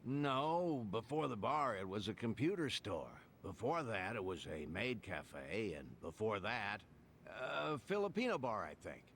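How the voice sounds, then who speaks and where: An older townsman in a dubbed video game, genial and chatty. His low voice rises and falls freely as he rambles in a folksy way, over faint noise. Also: big pitch swings, measured pace, fairly bright tone, heavily acted. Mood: amused, casual. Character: genial older local man, chatty and easygoing. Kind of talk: English video game dub acting.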